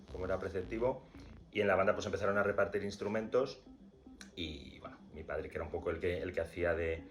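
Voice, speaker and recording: A man from Madrid, a corno di bassetto player, answering interview questions haltingly, repeating words as he searches. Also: slight background noise. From Madrid, Spain.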